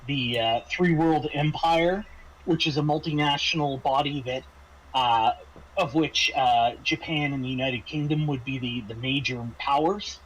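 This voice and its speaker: A man running a tabletop roleplaying game, casually briefing his players in a halting, explanatory way with frequent hesitations and restarts. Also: medium pitch, fairly bright tone, slight background noise, poor audio. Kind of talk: semi-improvised briefing to players, narrating a scenario.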